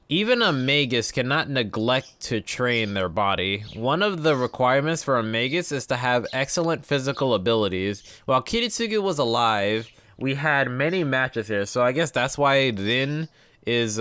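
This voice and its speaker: Man reading game text aloud, lively pitch. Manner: informal, reacts to the text he reads. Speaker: gameplay video host. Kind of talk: reading visual novel text aloud with casual asides.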